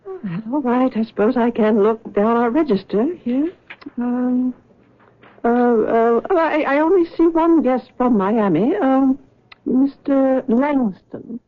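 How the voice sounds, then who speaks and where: An actress playing a polite, lightly amused hotel desk clerk in a 1970s radio drama. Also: female voice, on the high side, slow. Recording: tinny old radio broadcast.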